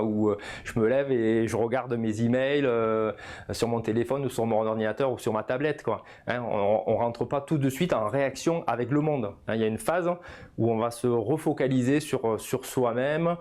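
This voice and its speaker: A man's voice: mid-range voice, darkish tone. A man, explaining in an informal coaching style and often punctuating his phrases with small tag words. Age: 50s. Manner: didactic, punctuates phrases with "hein" and "voilà". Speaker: personal development coach. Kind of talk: informal video lesson, explanatory.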